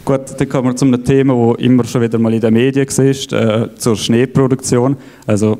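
Man, stage presenter, dark flat delivery, halting with fillers. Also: mid-range voice. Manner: halting, many fillers and restarts. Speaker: event organizer presenting. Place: event hall, on stage.

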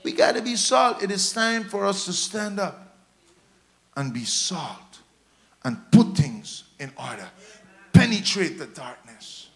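High-pitched Guyanese man preaching. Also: lively intonation, slow pace. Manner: emphatic, repetitive exhortation. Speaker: pastor preaching. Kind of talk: sermon. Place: church stage, indoors. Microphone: handheld microphone.

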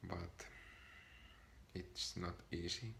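Shy Finnish man, deep gravelly voice. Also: fairly even intonation, sharp tone, slight background noise. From Finland. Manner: shy, hesitant, halting. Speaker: vlogger. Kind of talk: personal vlog to camera. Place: small room, seated on a sofa. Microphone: camera in front of him.

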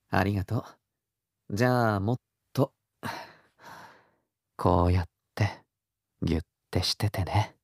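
A man playing a gentle, teasing young boyfriend in an intimate ASMR roleplay, with a soft, breathy, murmuring delivery. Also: fairly low pitch, huge pitch swings, no room sound, studio quality, full character voice. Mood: affectionate. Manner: slow, tender, murmuring, slipping into whispers. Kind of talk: intimate ASMR boyfriend roleplay in Japanese audio drama style.

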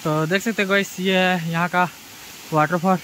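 A man's voice: sits high, fairly even intonation, moderate pace, sharp tone, slight background noise, poor audio. A man from Chhattisgarh chatting casually to viewers while riding a bike and hiking a forest trail, filmed on a body-worn camera. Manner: chatty, addresses viewers as guys. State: tired. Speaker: travel vlogger. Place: outdoors on rural roads and forest trails.

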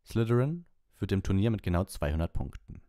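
A man's voice: deep voice, big pitch swings, brisk, warm tone, no echo, studio quality. A man reading an audiobook as narrator, in scripted storybook narration.

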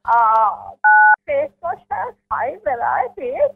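A woman's voice: high-pitched, big pitch swings, slow, creaky, husky. A woman with a Sri Lankan accent, speaking politely and patiently as a business owner taking a call over a telephone. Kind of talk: phone conversation. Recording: tinny, narrow telephone line.

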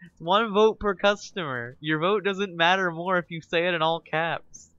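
A male game streamer in casual live chat with viewers, excitable and teasing, laughing often. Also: high voice, normal speed, no room sound, highs cut off.

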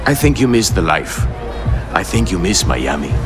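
An actor playing a smug, flirtatious street-racing boss who taunts with self-assurance in a game cutscene. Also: male voice, sits low, sing-song, normal speed, over music, crowd noise. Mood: taunting, smooth. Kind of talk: video game cutscene acting.

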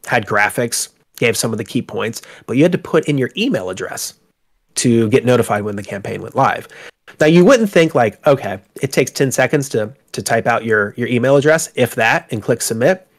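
A man's voice: mid-range voice, lively intonation, normal speed. A man with a congested, slightly sick voice, talking casually as a guest about running his tabletop game company, with many fillers and restarts. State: congested from a cold. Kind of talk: casual interview conversation. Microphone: home microphone on a call.

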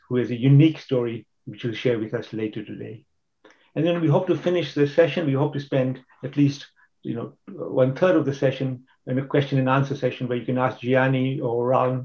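Halting man hosting an online event. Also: medium pitch, lo-fi sound. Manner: halting, with many restarts and fillers. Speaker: host. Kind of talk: introducing speakers at an online event.

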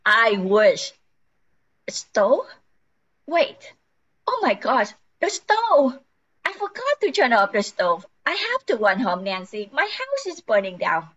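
A woman's voice: a little high, huge pitch swings, slow pace, a bit bright, highs cut off, full character voice. An older woman playing an overworked, flustered housewife in an amateur radio play. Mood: panicked.

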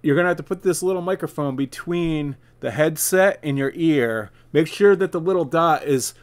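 Higher-pitched man giving a casual tutorial. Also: normal speed. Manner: plain-spoken, instructional, addressing viewers directly. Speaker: video creator, tutorial presenter. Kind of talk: casual step-by-step tech tutorial. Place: indoor room, seated at a desk.

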